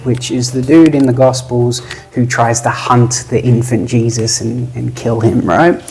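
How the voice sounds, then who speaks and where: A man's voice: mid-range voice, darkish tone. Laid-back joking male podcast host. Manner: joking, laid-back, with frequent fillers and quick laughs. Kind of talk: casual conversational storytelling.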